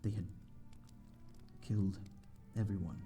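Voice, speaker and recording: A man acts out a lonely gentleman vampire for an ASMR audio story. His voice is low and very dark and velvety. He speaks with old-fashioned courtesy and a halting formality, with music playing behind him. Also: fairly even intonation. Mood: sombre, grieving. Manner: formal, old-fashioned, genteel, hesitant phrasing. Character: lonely, courteous male vampire living in an abandoned manor. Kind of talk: ASMR audio roleplay, addressing the listener.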